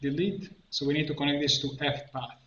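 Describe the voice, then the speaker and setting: A man presenting an improvised software tutorial, thinking aloud haltingly as he works at a computer. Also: fairly high pitch. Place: indoor room at a computer. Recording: dull, echoey room, clear background noise. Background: keyboard typing and mouse clicks.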